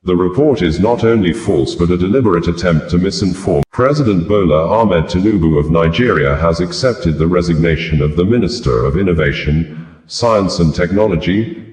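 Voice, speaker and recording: A man with a very low voice reads scripted news. His delivery is formal and deliberate, carried through long sentences. The sound is roomy, with clear echo around the voice. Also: darkish tone. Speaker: news reader.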